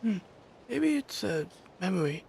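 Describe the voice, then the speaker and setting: A woman's voice: mid-range voice, sing-song, unhurried, gravelly, darkish tone, slight background noise. A woman giving casual commentary as she plays a game, musing aloud. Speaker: game streamer.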